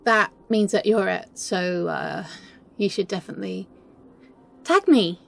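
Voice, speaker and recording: A woman playing a flustered, smitten, playfully scheming best friend in an intimate romantic audio roleplay, speaking in halting, stammering bursts. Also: mid-range voice, vocal fry, a bit bright. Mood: giddy, tender.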